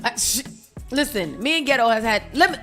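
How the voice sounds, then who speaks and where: A woman hosting a morning radio show in a studio, chatting casually and handing out lively shout-outs. Her voice sits high, and she laughs often as she talks. Faint music plays behind her. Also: fairly quick.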